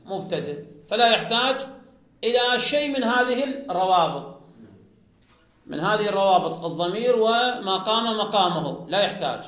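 Male teacher with a high voice lecturing on grammar and quizzing the class, recorded in an echoey room. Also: animated, a bit bright. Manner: slow, explanatory, asks the class questions then answers them.

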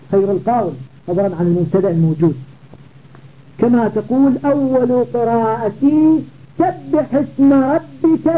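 A man teaching a classical grammar lesson. He explains methodically, repeats key phrases and stops to check that students follow. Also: very high voice. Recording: tinny phone-like audio with faint noise.

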